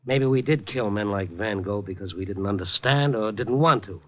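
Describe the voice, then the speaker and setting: A man's voice: fairly low pitch, normal speed, lightly acted. An actor playing a compassionate, world-weary man in an old radio drama, earnest and wry in manner. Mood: earnest, impassioned. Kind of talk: 1950s American radio drama acting and narration. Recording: muffled 1950 radio broadcast, faint music.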